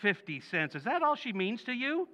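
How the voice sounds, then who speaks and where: A man's voice: very high-pitched, sing-song, moderate pace, pushed and bright, heavily acted. A man acting a blustery, blundering middle-aged working-class father in an old-time radio comedy, with a folksy manner. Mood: blustery, advising. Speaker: stage actor in radio recreation. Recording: reverberant hall.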